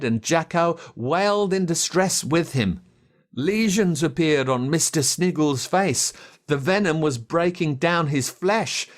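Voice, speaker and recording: An Australian man narrating a children's story in a dramatic, expressive way. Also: on the high side. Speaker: audiobook narrator.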